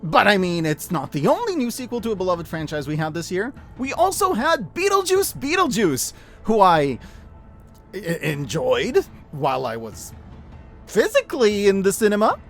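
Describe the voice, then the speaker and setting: A man narrating a scripted, opinionated review in an emphatic, conversational way with deliberate dramatic stresses. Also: high voice, wildly swooping pitch, a bit bright, faint music behind. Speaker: host of a year-end media review.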